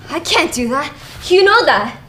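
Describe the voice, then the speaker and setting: A woman's voice: sits high, very animated, measured pace, a bit bright, heavily acted. A woman acting in an amateur stage play as a weary, troubled college-age daughter, pleading and defensive. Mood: defensive, anguished. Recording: echoey stage, faint noise.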